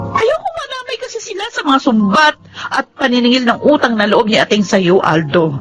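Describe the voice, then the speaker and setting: A woman's voice: a little high, a bit bright. Outspoken wife and mother, radio drama, emphatic, reproachful, principled, music behind. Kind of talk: Tagalog radio drama acting.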